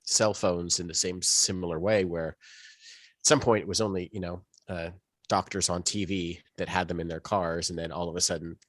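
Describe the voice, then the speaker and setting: Male podcast co-host, low register, dry close sound, loose rambling delivery with trailing asides. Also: fairly even intonation, normal speed, no echo. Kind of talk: casual podcast discussion.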